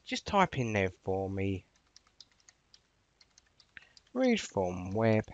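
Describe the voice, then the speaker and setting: Low-voiced man giving a chatty software tutorial. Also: huge pitch swings, extremely slow, dry recording, muffled recording. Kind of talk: informal instructional screencast narration.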